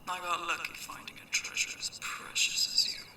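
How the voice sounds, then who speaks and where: A woman playing a commanding, teasing mech pirate captain in a sci-fi ASMR roleplay, speaking in a hushed, imperious way. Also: lowish voice, flat delivery, normal speed, echoey room, far from the mic, slight background noise. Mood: teasing, possessive. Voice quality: hushed, breathy whisper. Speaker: voice actor in an audio roleplay.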